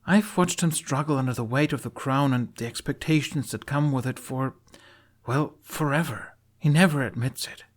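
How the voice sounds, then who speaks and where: A man's voice: medium pitch, normal speed, voice pushed up, close to an everyday voice. A man voicing an earnest, stubborn young female knight with an emphatic, imploring delivery in an audio story. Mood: earnest, insistent.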